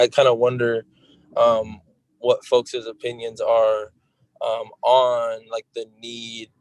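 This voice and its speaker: A man's voice: medium pitch, no echo, rough recording. A man talking in a casual group discussion, tentative and hedging, peppering sentences with filler words. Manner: tentative, hedging, frequent "like" and "right".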